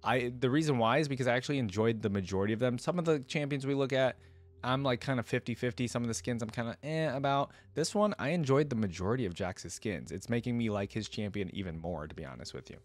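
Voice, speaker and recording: A man's voice: medium pitch, fast talker. A man hosting a casual reaction show, talking conversationally, thinking aloud and putting questions to viewers as he reads along. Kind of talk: casual reaction commentary, reading wiki pages aloud.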